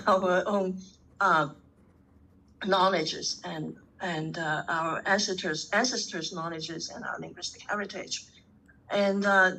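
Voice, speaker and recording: A woman in her sixties, from Hong Kong, talks in an online academic seminar. Her voice is bright and forceful, and her pitch climbs as she presses a point. Her pitch sits in the middle range for a woman. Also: low-quality recording. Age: 60s. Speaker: professor.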